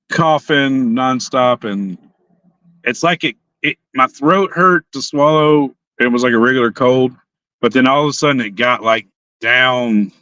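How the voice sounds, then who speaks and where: Hoarse young man chatting from a car. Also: mid-range voice, sharp tone, no room sound, dull audio. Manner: rambling, restarts often. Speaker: livestream host. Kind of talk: casual livestream chat. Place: sitting in a parked car.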